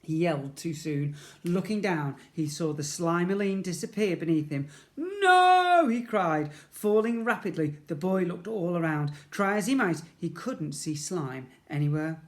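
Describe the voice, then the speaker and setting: A man's voice: fairly high pitch, moderate pace. Man reading a children's story dramatically. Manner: dramatic, playful, with sound effects and emphatic repetition. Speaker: primary school teacher reading to children. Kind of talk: expressive children's storybook narration.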